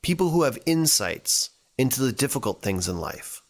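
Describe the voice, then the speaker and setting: Man giving an expressive, earnest devotional. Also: mid-range voice, normal speed, vocal fry. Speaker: church staff member giving a devotional. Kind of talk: scripture reading and reflective devotional.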